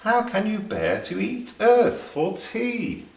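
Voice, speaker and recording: A man voicing a dreamy, earnest storybook rabbit who recites verse in a deliberate singsong. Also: sits high, wildly swooping pitch, gravelly, pitched above the performer's own voice, heavily acted. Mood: curious wonder. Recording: roomy echo, distant microphone.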